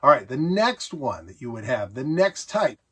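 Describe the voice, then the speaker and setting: A man's voice: mid-range voice, big pitch swings, normal speed, sharp tone, slightly rough. A middle-aged man with an American accent giving a casual, nostalgic show-and-tell, enthusiastic and conversational with self-amused asides. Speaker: host showing a vintage electronics collection.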